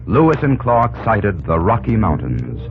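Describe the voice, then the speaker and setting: A man's voice: on the low side, very animated, a little slow. A man giving dramatic scripted narration for an old-time radio drama. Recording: tinny old radio recording, music behind.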